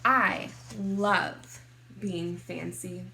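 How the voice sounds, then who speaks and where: Slow-paced woman reading to young children. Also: on the low side, fairly even intonation, sharp tone, slight background noise, poor audio. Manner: deliberate, clearly addressed to small children. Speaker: kindergarten teacher reading aloud. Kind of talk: expressive picture-book read-aloud for young children.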